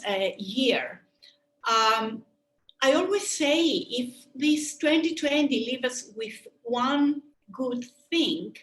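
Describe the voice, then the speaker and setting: A woman with a Greek accent speaks in a webinar presentation, her voice sitting a little high. She talks earnestly and thoughtfully, with frequent "um"s and restarted phrases. The recording has a noticeable room echo. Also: a bit bright. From Greece. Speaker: sports medicine doctor presenting a webinar.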